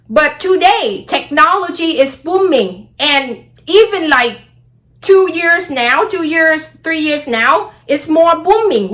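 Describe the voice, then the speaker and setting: Bright, high woman. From Vietnam. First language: Vietnamese. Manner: rambling, frequent "okay?" and "blah, blah" asides. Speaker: astrology vlogger. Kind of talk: casual astrology talk.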